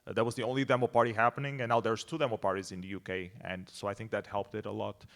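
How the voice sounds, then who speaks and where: A man's voice: lowish voice, flat delivery, fast, creaky, a bit bright. A man moderating an informal panel, speaking in a conversational, explanatory way. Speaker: roundtable moderator.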